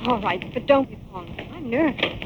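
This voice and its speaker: An American actress playing a loyal, inquisitive young sidekick in a 1940s radio crime drama. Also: female voice, fairly high pitch, lively intonation, moderate pace, close to an everyday voice. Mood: nervous. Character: loyal, inquisitive young female sidekick, anxious but game. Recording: muffled, noisy 1940s radio recording.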